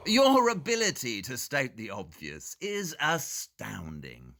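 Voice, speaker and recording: An actor playing an aloof, sardonic detective in an audio drama, speaking in a precise, formal, condescending way. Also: male voice, mid-range voice, wildly swooping pitch, takes it slowly, gravelly, fairly bright tone, exaggerated voice. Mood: dry, sardonic.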